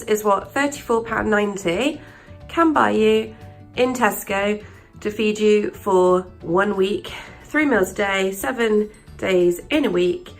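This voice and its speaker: A young woman, hoarse from a sore throat and stuffed up, chatting casually to camera as a vlogger with plenty of ums and rambling asides. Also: medium pitch. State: croaky sore throat, congested.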